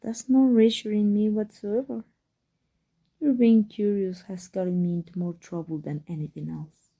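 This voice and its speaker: A prickly supervillainess, played by a woman in an ASMR roleplay audio drama. Her medium-pitched voice is soft-edged and mellow, with some huskiness. She delivers her barbs with theatrical, sardonic scorn, taunting her rival. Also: fairly even intonation, normal speed, lightly acted, low-quality recording. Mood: irritated, guarded.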